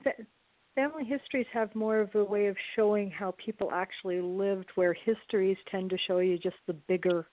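A woman taking part in a casual group discussion, talking in a measured, considered way. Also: medium pitch, fairly even intonation, no echo. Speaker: radio play cast member. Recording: dry, thin phone-like call audio.

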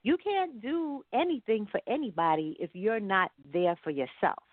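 A woman's voice: medium pitch, animated, normal speed, no room sound. A woman talking casually as an interview guest over a call. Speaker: guest, singer and entrepreneur. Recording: thin phone-like call audio.

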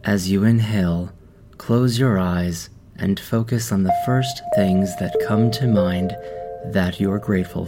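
A man hosting a guided meditation, speaking in calm, measured short phrases with pauses between instructions. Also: sits low. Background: music playing behind the voice.

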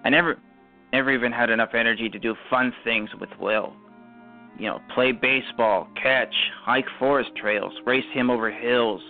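An actor playing a weary, regretful older father in a radio drama, brooding and self-pitying, with halting, repeated words. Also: mid-range voice, steady tone, soft background music, natural voice. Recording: muffled phone-like audio.

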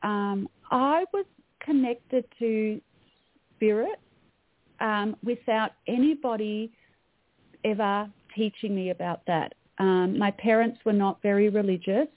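An Australian woman, a mindset coach, telling her story earnestly in a podcast interview, with frequent "um" pauses. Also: mid-range voice, no echo. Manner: earnest, reflective, frequent "um" fillers. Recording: tinny phone-like line, dry.

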